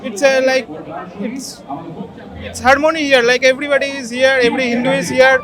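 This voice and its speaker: A man's voice: extremely high pitch, little pitch movement, brisk, over music. A man from India, a PhD student, answering a street interview hesitantly, leaning on "like". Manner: hesitant, fills with "like". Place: crowded outdoor square festival. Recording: loud crowd outdoors.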